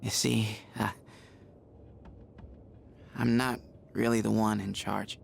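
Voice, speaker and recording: A man's voice: fairly low pitch, level tone, slow pace, creaky, a little hiss or hum, full character voice. A man voicing an awkward, sheepish teenage boy in a video game, speaking hesitantly and candidly.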